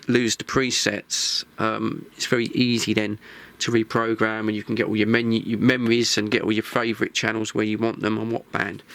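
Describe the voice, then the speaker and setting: Bright-voiced English man chatting casually. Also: mid-range voice. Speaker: host of a radio hobby channel. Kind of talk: casual vlog chat, gear preview.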